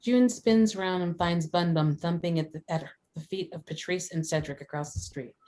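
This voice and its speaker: A woman's voice: lowish voice, moderate pace, a little mellow. A woman reading scripted stage directions as the narrator of a table read.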